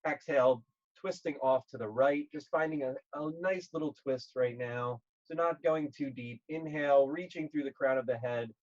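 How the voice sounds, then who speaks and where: A man leading a guided yoga class, speaking gently and calmly in short, measured phrases with pauses. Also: mid-range voice, dull audio. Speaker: yoga instructor.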